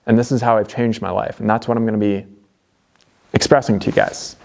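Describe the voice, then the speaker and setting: A man's voice: on the low side, level tone, brisk, gravelly, a little mellow, a little hiss or hum. Earnest Canadian man, chatty vlogger. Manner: earnest, conversational, many fillers and restarts. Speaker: DIY vlogger. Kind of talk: motivational talk to camera.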